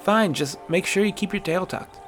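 A man voicing a cautious young boy who speaks in a hushed, tentative way. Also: high-pitched, moderate pace, faint music behind, pitched above the performer's own voice. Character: young boy, cautious, tender yet impatient.

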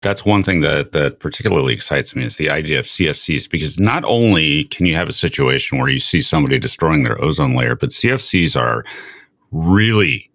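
Very deep-voiced man hosting a science discussion. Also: lively intonation, fairly quick, a bit bright, no echo. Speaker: show host, interviewer.

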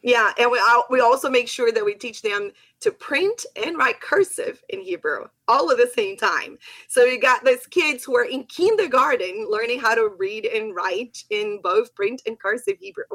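A woman with a fairly high voice speaks English as a second language, her first being Portuguese. She talks in animated, quick bursts, like a guest sharing stories on a chatty podcast. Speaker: podcast guest, Hebrew teacher. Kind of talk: casual conversation.

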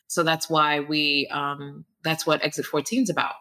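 Guest author: woman, low pitch, crisp bright tone, relaxed rambling answers with ums. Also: unhurried. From Queens, New York, United States. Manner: friendly, laughs easily, many ums and restarts. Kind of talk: conversational interview.

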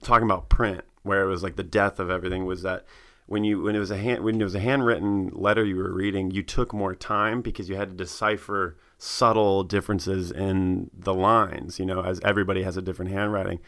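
A man's voice: low-pitched, level tone, a little fast, fairly bright tone. A man in a casual chat, laid-back and searching for his words, laughing easily.

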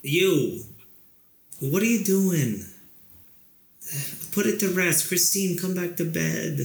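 Drowsy, creaky-voiced boyfriend, very slow. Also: male voice, high-pitched, animated, heavily acted. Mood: drowsy, pleading. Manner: drowsy, coaxing. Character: sleepy, pleading boyfriend coaxing his partner to bed. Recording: echoing room, noisy found-footage tape.

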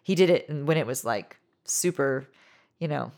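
A woman in her thirties with a deep, low-pitched voice chats as a musician guest in an interview. She rambles loosely, leaning on "like" and "you know" as she goes. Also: a little slow, darkish tone. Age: 30s. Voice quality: low singing voice. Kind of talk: casual interview chat.

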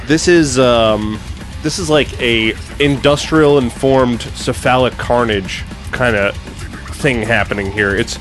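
A man's voice: mid-range voice, slow pace, a bit bright. Man chatting on a music podcast. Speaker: podcast co-host. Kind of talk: casual music review chat.

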